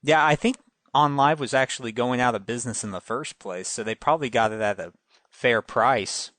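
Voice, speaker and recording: A man on a podcast panel, chatting casually in a halting manner. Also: mid-range voice, fairly bright tone, no echo. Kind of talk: casual chat.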